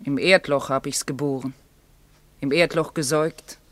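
An actress playing a haunted, grieving young mother, speaking in a solemn, measured way in a poetic radio drama. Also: deep voice, steady tone, fairly bright tone, no room sound.

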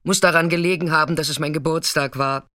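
A woman's voice: deep voice, fairly even intonation, fast, a bit bright, no echo, studio quality. An actress playing a tough, defiant street sex worker, telling her story in a blunt, colloquial radio drama monologue. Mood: bitter, proudly stoic. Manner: colloquial, blunt, swinging from intimate recollection to agitated outbursts.

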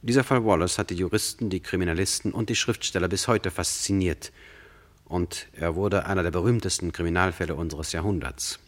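A man narrating a 1960s German radio play in a measured, formal, deliberate manner. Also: sits low.

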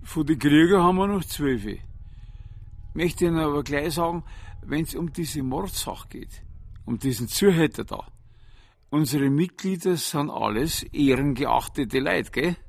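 An actor playing a stuffy, wary older veterans' club official with a Bavarian accent, defensive and folksy. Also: male voice, fairly high pitch, unhurried, gravelly, no room sound. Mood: guarded.

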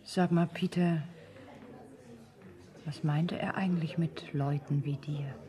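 An actress playing a wry, questioning middle-aged bride-to-be in a radio drama, understated and probing. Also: female voice, low voice, steady tone, takes it slowly, mellow.

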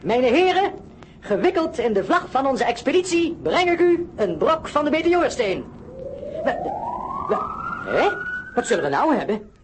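A woman playing a plucky, excitable teenage boy adventurer in a radio play, exclaiming and stammering in surprise. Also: high-pitched, normal speed, pauses often. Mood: triumphant. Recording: loud ambient effects noise throughout.

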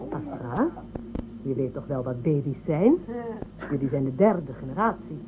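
A woman's voice: a bass voice, very animated, normal speed, music in the background. An actress playing an insistent, commanding woman adviser who questions people in a science fiction radio drama. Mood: probing, insistent. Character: probing, authoritative woman adviser in a space empire. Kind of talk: Dutch radio drama acting. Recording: tinny phone-like band, loud background noise.

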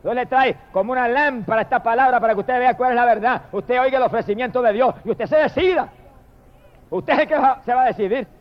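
A man with a high voice preaches a fervent sermon, calling out praise to God again and again between long, insistent appeals. The recording is dull and lo-fi, with the top end muffled. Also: fast talker. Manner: impassioned, repetitive exclamations of praise.

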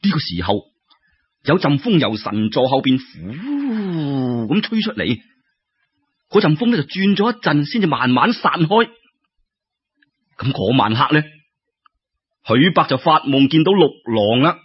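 A man's voice: a little high, big pitch swings, measured pace, long pauses, slightly rough. A man with a Cantonese accent narrating a folk tale in colloquial oral storytelling style. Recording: dull lo-fi radio-style recording.

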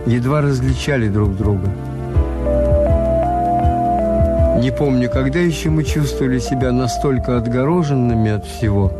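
An actor playing a weary, cynical middle-aged hotel owner who tells his story in a measured, wry way in a Soviet radio drama. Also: medium pitch, over loud music, close to an everyday voice. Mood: quiet, reflective.

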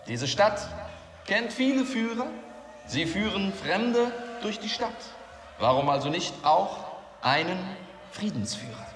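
A grandiose rabble-rousing leader declaims in a satirical radio play. His high-pitched delivery is drawn out and pompous, ringing through a long hall reverb over music and crowd. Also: exaggerated voice. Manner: pompous, declamatory, slow and chanting. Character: grandiose self-appointed peace leader, rallying demagogue.

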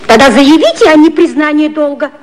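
A woman acting an impudent, sharp-tongued secretary with a mocking, brisk manner in a 1950s satirical radio play. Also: sits high, close to an everyday voice. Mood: probing.

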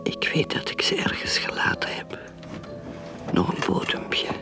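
An actress playing a bitter, wistful married woman who secretly craves drink, in an intimate, halting radio drama monologue. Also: close to an everyday voice. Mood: furtive craving. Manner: whispered, breathy, halting. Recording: music under the voice.

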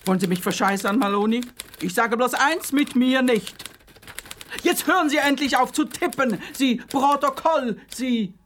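A bullying police officer in a radio crime comedy. He is gruff, impatient and insulting as he questions his suspect. Typewriter clatter and some noise sit behind the voice. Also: very high voice, moderate pace, a bit bright. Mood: angry.

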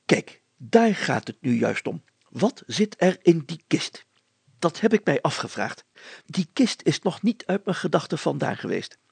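A man voicing an excitable, secretive village mayor in an audiobook, telling things emphatically and deliberately in a creaky voice. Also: a little high, no echo, heavily acted. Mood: eager, plotting. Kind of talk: acted audiobook dialogue.

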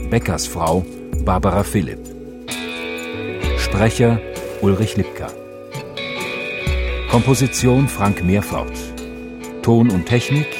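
A man reading scripted radio drama credits as an announcer, in a measured, formal delivery. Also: lowish voice. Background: clear music.

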